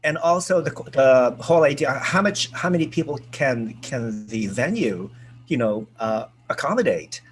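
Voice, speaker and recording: A man hosting a casual group video call, chatty and excitable, with lots of fillers and restarts. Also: mid-range voice, moderate pace. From United States. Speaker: host.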